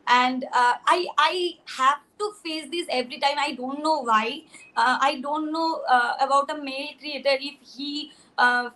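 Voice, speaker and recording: A woman's voice: sits high, bright, forceful tone, low-quality recording. A young woman, speaking hesitantly with many "uh" fillers as a guest on a video-call panel. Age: young adult. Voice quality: husky. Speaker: content creator guest.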